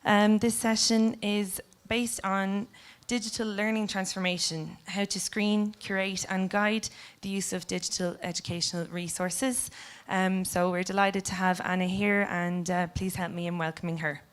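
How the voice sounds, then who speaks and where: A woman's voice: mid-range voice, level tone, unhurried, few pauses. A woman from the event team giving a polite, formal introduction at a conference with a few fillers. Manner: polite, scripted, with some filler. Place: conference room.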